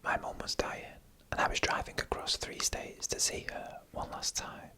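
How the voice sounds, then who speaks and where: A narrator whispering scary stories in ASMR style, slowly and deliberately, with pauses between short phrases. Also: pushed and bright.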